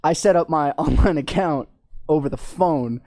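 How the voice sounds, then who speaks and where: A man's voice: fairly high pitch, normal speed, a little mellow, no room sound. Joking, profane man with a dry close sound. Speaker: podcast guest. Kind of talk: casual banter and storytelling.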